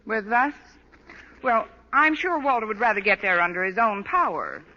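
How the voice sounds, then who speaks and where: A woman's voice: a little high, very animated, moderate pace, sharp tone, heavily acted. A woman acting a wry, sarcastic and lovelorn schoolteacher with dry asides in an old radio comedy. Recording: noisy, dull old radio recording.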